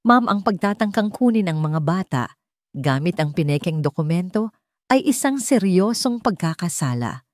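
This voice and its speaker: A woman's voice: on the low side, big pitch swings, normal speed, a little mellow, dry recording, studio quality. A woman with a Filipino accent voicing a courteous but unyielding senior police officer in an audio drama, formal and professional. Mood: stern, formal.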